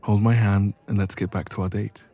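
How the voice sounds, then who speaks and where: A man playing a smitten, shy and teasing young best friend in a romantic audio roleplay, speaking softly and intimately with some hesitation. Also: lowish voice, flat delivery, brisk, soft background music. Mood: playful, affectionate.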